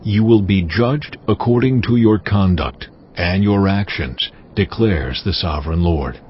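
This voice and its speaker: An actor playing a stern, wrathful God, speaking gravely and commandingly in a dramatized audio Bible. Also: male voice, sits low, measured pace, a little hiss or hum. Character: God, stern wrathful sovereign Lord pronouncing judgement. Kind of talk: dramatized audio Bible, solemn scripture oration. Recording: dull, muffled audio with music. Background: cinematic music.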